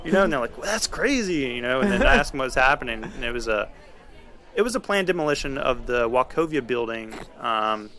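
Man, casual podcast storyteller, medium pitch, conversational, animated delivery. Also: a little fast.

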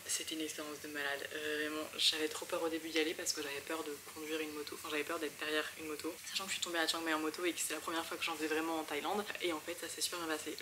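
Female travel vlogger, twenties: rapid, informal and talkative, recorded on location with clear ambient noise. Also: low-pitched. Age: 20s. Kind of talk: casual vlog chat. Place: on location while travelling: street, bus, boat, outdoors by water.

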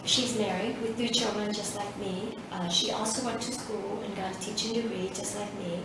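A woman in her fifties, from Vietnam and a native Vietnamese speaker, telling her story in an oral history interview, hesitant and reflective with many pauses. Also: medium pitch, fairly even intonation. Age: 50s. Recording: echoey room, distant mic, background noise.

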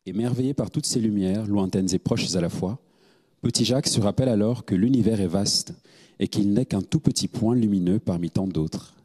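Low husky young man reading aloud. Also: moderate pace, dark tone, in a large hall. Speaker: actor reading dedications. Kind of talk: read-aloud. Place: small studio set. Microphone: handheld mic.

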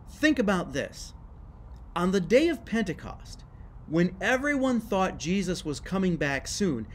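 A man's voice: high-pitched, slight background noise. A middle-aged man giving a scripted church history lesson to camera on a screened porch, speaking emphatically and gesturing with his hands.

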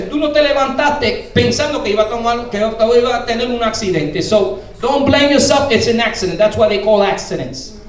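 An older man preaching a fervent sermon as pastor, often shouting and filling his speech with hallelujahs. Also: extremely high pitch. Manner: gestures broadly while preaching at a lectern. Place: church sanctuary, indoors, medium-sized. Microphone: handheld mic, filmed by a far camera. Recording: very echoey church hall, distant camera.

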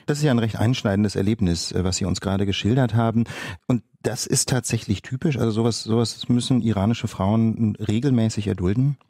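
A man co-hosting a political news podcast, explaining events in a measured, orderly way. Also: on the low side, mellow, no room sound, studio quality. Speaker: podcast co-host, lawyer.

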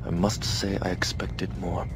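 Formal, low-voiced rebel leader. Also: male voice, little pitch movement, heavy background noise. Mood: formal, determined. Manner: measured, formal. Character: grave, formal male rebel leader, calm and calculating. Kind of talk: video game cutscene acting. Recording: loud music behind.

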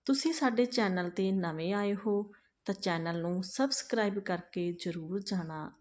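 A woman narrating a moral family story for her channel in a measured, earnest read-aloud manner. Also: mid-range voice, a little mellow.

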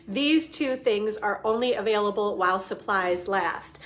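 A woman in her forties, a bit hoarse from a cold, chattily demonstrating craft supplies on a home live stream and greeting viewers by name. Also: mid-range voice, measured pace, a bit bright. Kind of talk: casual live-stream demo. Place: living room at home.

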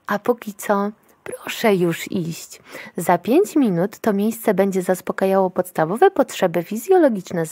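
An officious clerk of a state institute in a futuristic radio drama. She is formal and curt, admonishing like a born bureaucrat, in a medium-pitched voice recorded very dry. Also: a little mellow, no room sound. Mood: polite, dismissive. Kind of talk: dystopian radio play acting.

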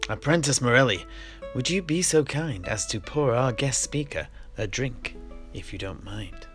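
A man playing a genial old fantasy tavern keeper and storyteller, with courteous, old-fashioned, measured phrasing. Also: mid-range voice, lively intonation, fairly bright tone, close to an everyday voice. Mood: courteous, storytelling. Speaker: host. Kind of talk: in-character fantasy roleplay dialogue. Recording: music behind the voice.

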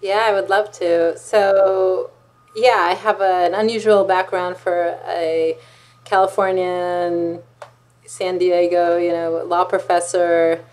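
A woman's voice: fairly low pitch, flat delivery, slow pace, darkish tone. A middle-aged woman from Israel, a law professor and author, explaining her thoughts conversationally in an interview on a video call. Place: office, indoors, on video call. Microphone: video call computer microphone.

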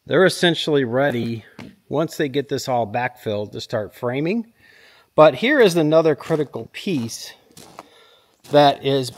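A man in his fifties giving a casual, plain-spoken instructional walkthrough as a vlog host, recorded outdoors on a handheld camera. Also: fairly high pitch. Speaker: vlog host showing a home build. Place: outdoors at a concrete foundation construction site. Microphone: handheld camera at arm's length.

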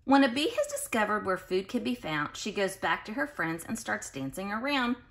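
A woman reading a children's picture book aloud in an expressive, sing-song way, speaking directly to young listeners. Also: mid-range voice. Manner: pauses to explain and question listeners directly. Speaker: children's read-aloud host.

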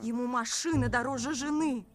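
A woman playing a big, headstrong fairy-tale princess in an animated film, imperious and capricious. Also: fairly high pitch, normal speed, close to an everyday voice. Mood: indignant. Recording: loud film music behind voice.

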